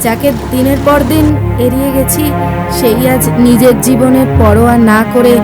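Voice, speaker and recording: A woman's voice: fairly high pitch, fairly even intonation, unhurried, loud music behind the voice, close to an everyday voice. A woman playing a kind-hearted, earnest schoolgirl in an audio story.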